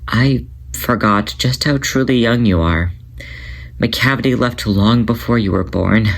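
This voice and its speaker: A man voicing a protective older male cat in a storybook reading, speaking softly and steadily. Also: medium pitch, a little slow, vocal fry, poor audio. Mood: tender.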